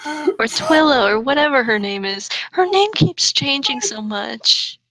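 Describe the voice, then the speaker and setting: A woman's voice: high voice, expressive, unhurried, crowd noise, low-quality recording. A woman reading fan fiction aloud for comedy, breaking into casual, playfully exasperated commentary.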